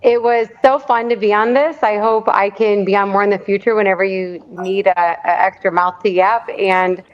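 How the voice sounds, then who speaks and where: A woman's voice: medium pitch, fairly even intonation, moderate pace. Bubbly woman on a muffled call. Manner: bubbly, rambling, with many ums. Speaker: nurse and show guest. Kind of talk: casual chat. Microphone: video call. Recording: dull lo-fi video call audio.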